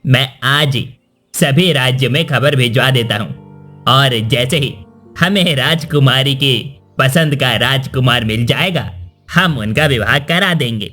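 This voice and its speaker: A man's voice: mid-range voice, a little slow, sharp tone, faint music behind. A man playing a loyal, deferential royal minister in a cartoon story. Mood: dutiful.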